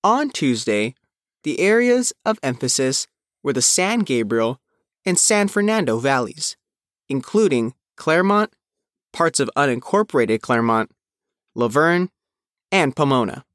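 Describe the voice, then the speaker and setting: Man reading news, lively varied pitch. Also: unhurried, dry recording, studio quality. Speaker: reporter reading own written article. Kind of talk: scripted news narration.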